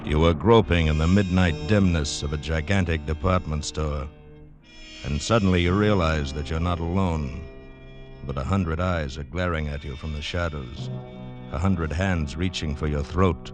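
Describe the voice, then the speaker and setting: A man acting as the ominous narrator of a vintage radio suspense show, dramatic and menacing over music. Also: very deep voice. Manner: dramatic, slow-building, menacing delivery.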